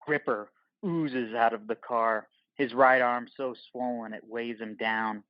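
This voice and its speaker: A man reads scripted audio drama narration in terse, clipped phrases. His pitch is medium, and the recording is dry and slightly dull. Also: fairly even intonation, a little slow, no echo.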